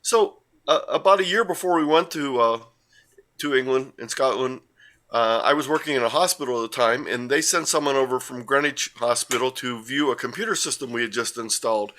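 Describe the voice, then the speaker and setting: A man's voice: mid-range voice, a little fast, sharp tone. An older man from the United States giving a casual talk with slides, rambling, with many fillers and self-corrections. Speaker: guest presenter.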